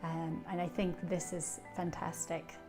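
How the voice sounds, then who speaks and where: Scottish woman, low voice, faint music. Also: little pitch movement, unhurried, darkish tone. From Scotland. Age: middle-aged. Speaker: coach, association treasurer. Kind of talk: self-introduction and coaching talk.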